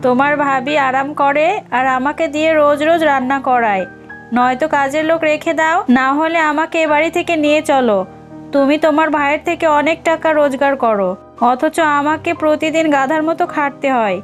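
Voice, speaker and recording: A woman's voice: high-pitched, steady tone, a little fast, close to an everyday voice. A woman voicing a proud, complaining young wife. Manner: complaining, demanding. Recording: background music under voice.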